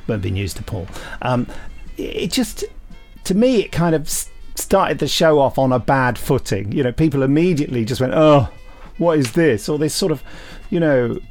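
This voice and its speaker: A man's voice: medium pitch, expressive, normal speed, darkish tone, faint music behind. A British man hosting a podcast in casual comic banter, with a playful, theatrical, mock-pompous manner. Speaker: podcast host.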